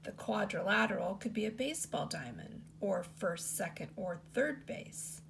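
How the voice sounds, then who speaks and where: A woman teacher reading a children's picture book aloud in an expressive storytelling style. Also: moderate pace, creaky, a little hiss or hum. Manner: expressive read-aloud with lots of pitch movement.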